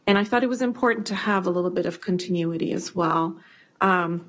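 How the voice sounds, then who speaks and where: Measured middle-aged woman, low voice. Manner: measured and reflective, with hesitations. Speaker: school board trustee. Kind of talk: self-introduction at a board meeting.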